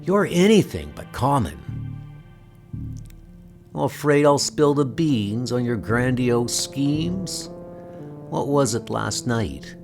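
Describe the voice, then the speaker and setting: A man's voice: mid-range voice, very animated, slow pace, pauses often, darkish tone. A man voicing the mocking, needling alter ego of a narcissist in a comic book audio drama. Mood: taunting. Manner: taunting, theatrical. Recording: music under the voice. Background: music.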